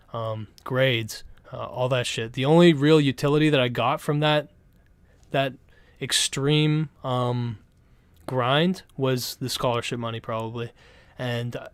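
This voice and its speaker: A man's voice: medium pitch, fairly bright tone, no room sound. A young Canadian man streaming from home, chatting casually and rambling with lots of swearing and restarts. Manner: rambling, profane, laid-back, full of restarts. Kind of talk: casual live-stream chat.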